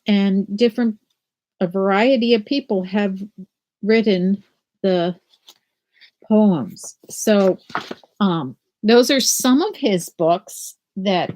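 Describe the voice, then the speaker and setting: An older woman teaching children from home over a webcam, explaining a picture book and then reading it aloud in a gentle sing-song. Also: medium pitch, takes it slowly, pauses often. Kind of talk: children's read-aloud lesson. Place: small room at home. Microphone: laptop webcam video call.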